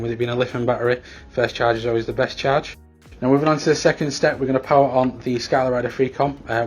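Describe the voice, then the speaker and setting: A man's voice: mid-range voice, slight background noise. A man presenting a step-by-step product tutorial in a plain, matter-of-fact way. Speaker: shop staff presenter.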